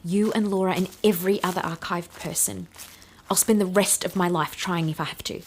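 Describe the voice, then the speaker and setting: A woman's voice: on the low side, fairly even intonation, fairly quick, slight background noise, natural voice. A woman playing an earnest, determined team leader in an audio drama. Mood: tender, determined. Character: Vanessa, brilliant, guilt-ridden woman leading the team, determined. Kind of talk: audio fiction acting.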